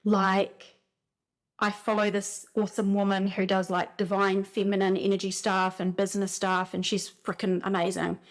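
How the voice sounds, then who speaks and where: A woman with a New Zealand accent talks casually about spiritual signs, telling her stories with animated, rambling energy. Her voice sits at a middle pitch for a woman, and she fills her sentences with "like" as she goes. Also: normal speed. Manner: animated storytelling, frequent "like" fillers. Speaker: spiritual speaker.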